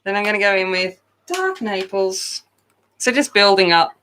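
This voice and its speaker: Loud, chatty woman with a bright voice. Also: mid-range voice, sing-song, moderate pace, slight background noise. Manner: loud, chatty, self-deprecating. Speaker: coloring channel host. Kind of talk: casual live chat while coloring.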